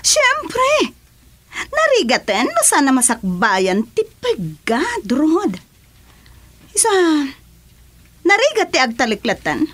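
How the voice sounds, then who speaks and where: An actress playing a dramatic, animated woman who confides in a gang boss, in a radio serial drama. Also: sits high, takes it slowly, long pauses, exaggerated voice. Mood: animated, coy.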